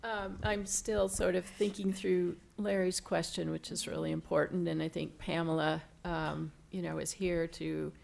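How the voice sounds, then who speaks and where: A woman in her sixties from Washington State speaks on a panel, reflective and measured. Her voice is heavily gravelly, fairly low, and very flat in pitch. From Washington State, USA. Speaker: panelist, researcher. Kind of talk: panel discussion.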